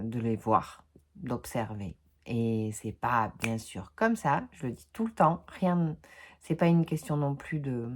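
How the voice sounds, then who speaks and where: A woman in her forties with a French accent, talking slowly and thoughtfully to her viewers about self-awareness, with many pauses. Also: rumbling low, a little mellow. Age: 40s. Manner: slow and thoughtful, repeats words, many "euh" pauses. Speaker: video host. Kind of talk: reflective personal development talk.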